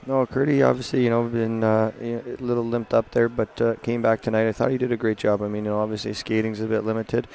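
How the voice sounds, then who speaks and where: Flat, gravelly-voiced man coach. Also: medium pitch. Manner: flat, gravelly, halting with many restarts. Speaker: hockey coach. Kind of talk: postgame interview. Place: indoor ice rink. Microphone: headset mic.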